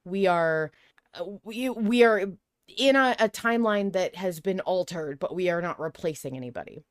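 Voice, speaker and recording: A woman's voice: fairly low pitch, normal speed, clear, resonant tone, no echo, studio quality. A woman hosting a podcast, chatting casually and rephrasing as she goes. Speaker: podcast host. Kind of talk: casual discussion of a fiction podcast.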